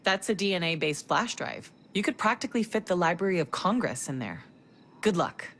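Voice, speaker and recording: An actress playing a skeptical researcher with a wry, dry way of talking. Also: female voice, fairly low pitch, lively intonation, brisk, a bit bright. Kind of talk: video game cutscene acting.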